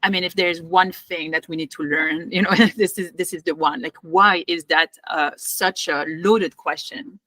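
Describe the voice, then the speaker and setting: A woman with a French accent hosting an interview, chatty and animated. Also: lowish voice, normal speed, few pauses, no room sound. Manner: animated, chatty, with laughter and fillers. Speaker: podcast host. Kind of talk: interview conversation.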